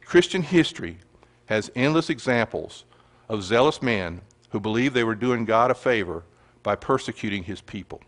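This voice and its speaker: A man's voice: lowish voice, lively intonation, moderate pace. Man teaching a sermon. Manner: earnest teaching, reads scripture aloud. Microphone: handheld or stand mic.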